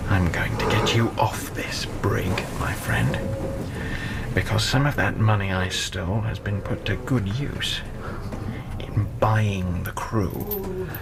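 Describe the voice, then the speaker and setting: A confident convict plotter in a radio drama. His voice is very low and unhurried, sly and boastful, under loud background noise. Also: heavily acted. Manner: conspiratorial, deliberate, boastful.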